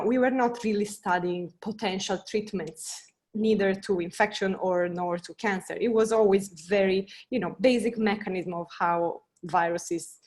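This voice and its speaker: Italian-accented woman scientist, earnest, halting, mid pitch, panel talk. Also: a little mellow. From Italy. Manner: earnest, searching, many fillers and restarts.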